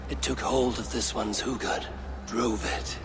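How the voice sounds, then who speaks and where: An actor playing a stern, stoic Viking warrior in a video game, speaking in a grave and measured way. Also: male voice, mid-range voice, fairly even intonation, heavy background noise, natural voice. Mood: grim. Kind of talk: dramatic video game acting. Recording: music behind the voice.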